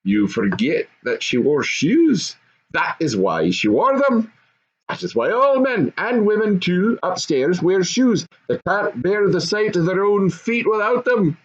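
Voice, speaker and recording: A man's voice: high voice, sing-song, unhurried, muffled recording, pitched above the performer's own voice. A man voicing a gloating, bossy goblin father and husband, emphatic and knowing, in a storybook reading.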